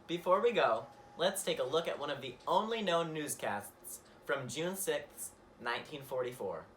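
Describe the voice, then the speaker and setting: A man's voice: a little high, expressive, normal speed, fairly bright tone. A man playing a formal public news presenter, reading scripted introductions. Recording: faint background noise.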